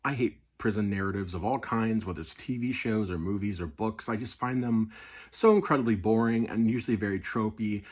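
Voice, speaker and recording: Husky, low-voiced man reviewing. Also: a little fast, a little mellow. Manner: enthusiastic, conversational. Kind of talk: casual video review.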